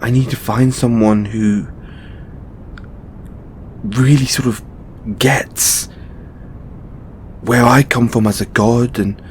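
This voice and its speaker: A man's voice: steady tone, takes it slowly, pauses often, close to an everyday voice. A man playing a flustered, tender god boyfriend in an intimate audio roleplay, stammering and repeating his words. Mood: earnest, hesitant. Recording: noticeable low rumbling background noise.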